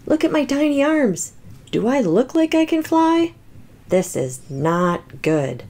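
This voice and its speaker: A woman voicing an anxious, boastful but loving little toy T-rex in a picture book, with exaggerated, expressive delivery. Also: high-pitched, takes it slowly, pitched above the performer's own voice, heavily acted. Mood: panicky, complaining. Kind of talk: children's picture book read-aloud.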